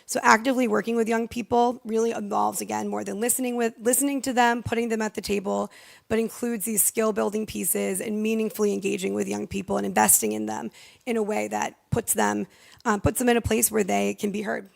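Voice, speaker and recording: A woman's voice: medium pitch, little pitch movement, a little fast, creaky. A young woman giving semi-formal, partly improvised panel remarks in an earnest, informal way. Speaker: youth democracy network staffer, panelist.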